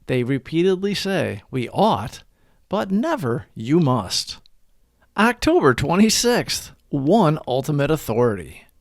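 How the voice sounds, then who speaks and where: A man hosting a daily recovery reading, delivering scripted reflections with deliberate, emphatic phrasing. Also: on the high side, sing-song, no echo, clean studio sound. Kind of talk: scripted reflective read-along narration.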